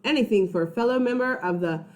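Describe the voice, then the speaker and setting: A woman voicing a loyal, excitable schoolboy best friend in a children's storybook, speaking emphatically and exclaiming. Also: mid-range voice, fast, natural voice. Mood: rallying.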